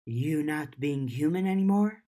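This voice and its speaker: A woman voicing a gentle, thoughtful, soft-spoken teenage girl in an audiobook. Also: sits low, animated, a little slow. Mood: quiet. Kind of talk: audiobook character dialogue.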